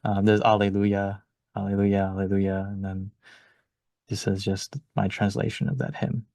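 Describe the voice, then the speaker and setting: A man's voice: fairly low pitch, monotone, moderate pace, gravelly, dark tone, no echo. A man casually showing his own work as a workshop participant, in a modest and tentative way. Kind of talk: casual, informal show-and-tell.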